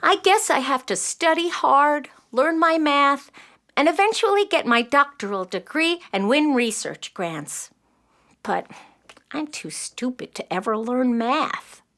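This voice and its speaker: A woman's voice: high-pitched, lively intonation, a little slow, fairly bright tone, exaggerated voice. A woman playing an emotional, brainy nine-year-old girl in a children's audio play, melodramatic and pleading. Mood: timid, trusting. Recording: long reverb on the voice.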